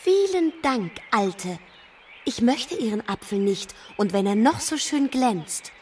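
An actress playing a naive, pert young princess in a children's radio play. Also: female voice, a little high, expressive, slow, a little mellow, distant mic, slight background noise. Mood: defiant.

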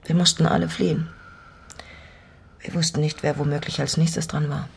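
A woman's voice: sits low, level tone, normal speed, vocal fry, a little hiss or hum. An actress playing a lonely middle-aged woman in an intimate radio drama, playful yet haunted by her vanished father, with a reflective, teasing way of talking. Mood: grave, remembering.

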